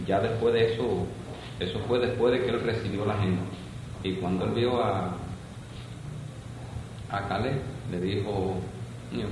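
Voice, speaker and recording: An older man with a Cuban accent slowly recalling old times in an oral history interview, rambling with folksy asides. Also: lowish voice. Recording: echoey room from far off, noisy.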